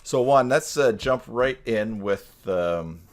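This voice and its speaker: A man's voice: fairly low pitch, animated, slow. A man hosting a tutorial live stream in a casual, folksy, joking and meandering way, speaking into a headset microphone.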